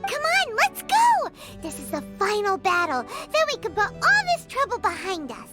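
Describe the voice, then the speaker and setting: A woman voicing a tiny, childlike, cheeky fairy companion in a video game, in a squeaky, bubbly, exclaiming manner. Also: huge pitch swings, moderate pace, over loud music, heavily acted. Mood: cheeky, upbeat. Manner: bubbly, exclaiming, speaks of herself in third person. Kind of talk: scripted video game cutscene acting.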